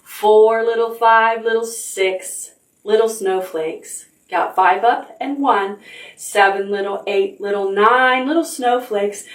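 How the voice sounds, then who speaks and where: A woman's voice: medium pitch, steady tone, slow pace, roomy sound, recorded from a distance, poor audio. A woman librarian leading preschool storytime, sing-song and encouraging as she talks to small children. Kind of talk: preschool storytime with songs and reading.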